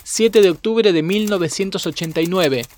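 Male documentary narrator, fairly high register, scripted, measured and formal delivery. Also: a little mellow.

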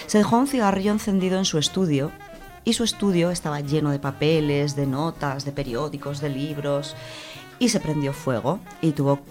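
A woman with an accent from Spain speaks in a low register. She presents books in an informal, enthusiastic way, moving between prepared notes and conversational comment. Light music plays behind her voice. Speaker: radio show book reviewer.

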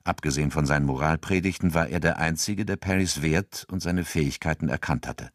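Deep-voiced male narrator. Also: a little fast, dry recording, clean studio sound. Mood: sober. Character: sober male crime-story narrator. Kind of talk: scripted literary narration.

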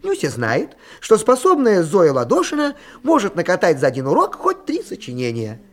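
A man's voice: high voice, wildly swooping pitch, moderate pace. A man acting as the author's wry narrator, telling a children's radio play expressively.

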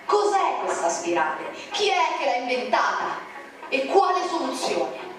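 High-voiced woman declaiming poetry. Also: very animated, measured pace. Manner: declamatory, indignant. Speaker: narrator. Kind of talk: recited poetry narration. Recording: very echoey, distant, music behind.

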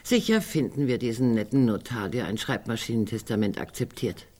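An actress playing a sardonic, provocative sister in a German radio drama, theatrical and husky. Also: female voice, very deep voice, animated, a little fast, a little mellow. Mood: sly. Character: Greta, sardonic, provocative sister of a squabbling family.

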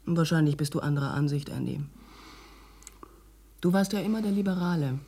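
A woman's voice: low-pitched, a little fast, warm tone, breathy edge. Bitter, edgy middle-aged woman. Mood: bitter, angry. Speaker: radio drama actor. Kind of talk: German radio drama acting.

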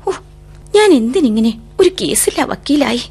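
An actress playing a bookish, wistful young woman with quiet defiance, in an introspective, dramatic radio drama style. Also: a little high, sing-song, moderate pace, slightly rough, heavily acted. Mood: bitter, sighing. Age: young adult. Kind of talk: radio drama acting with monologue and poetic recitation.

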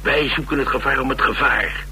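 An actor playing a cynical, battle-hardened adventurer who craves danger, talking persuasively in in a radio drama. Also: male voice, medium pitch, fairly even intonation, fairly quick, vocal fry, pushed and bright, slight background noise. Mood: intense, persuasive. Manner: persuasive, emphatic monologuing.

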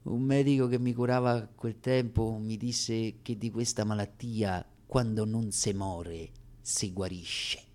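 A man performing a comic radio theatre monologue as an actor, with deliberate, wry, dramatic phrasing and pauses. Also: on the low side, steady tone, a little mellow.

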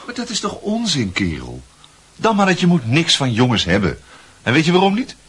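An actor playing a sly young drinking companion in a radio drama, teasing and insinuating. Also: male voice, fairly high pitch, wildly swooping pitch, moderate pace, husky, heavily acted. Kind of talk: Dutch radio drama acting.

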